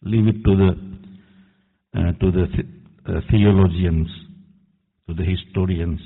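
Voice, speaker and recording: A man's voice: deep voice, steady tone, a little slow, breathy edge. A man preaching an earnest, admonishing sermon as imam, quoting Arabic verses. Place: mosque hall. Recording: tinny echoey hall recording.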